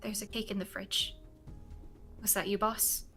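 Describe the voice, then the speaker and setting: A woman's voice: mid-range voice, flat delivery, unhurried, bright, forceful tone, faint music behind. A woman voicing a grieving, hot-headed young fixer in an amateur read-along of a game script. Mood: subdued, grieving.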